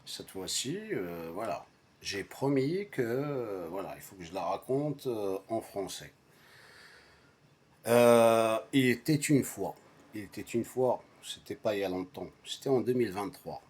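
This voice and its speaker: A man, a native Arabic speaker, tells a personal anecdote in a casual way. His delivery is slow and halting, with repeated phrases for emphasis. The pitch moves around in a lively, animated fashion. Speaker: storyteller recounting a personal anecdote to friends. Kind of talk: casual spoken storytelling.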